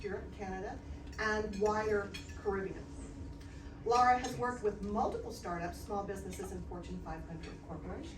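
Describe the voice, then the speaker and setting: A woman's voice: on the high side, background noise. Woman reading an introduction in an echoey hall. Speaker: event host introducing the speaker. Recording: echoey room from far off, clatter behind.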